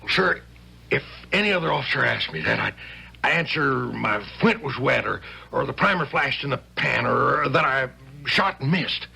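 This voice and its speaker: A very hoarse, gravelly colonial sergeant in a radio play. He is frightened and respectful, with stammering, folksy, ungrammatical speech and a bright, strained edge. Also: mid-range voice, lively intonation, moderate pace, full character voice. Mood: earnest. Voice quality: raspy, rough voice. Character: frightened, stammering rustic sergeant of the American Revolution. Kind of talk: vintage-style radio drama acting.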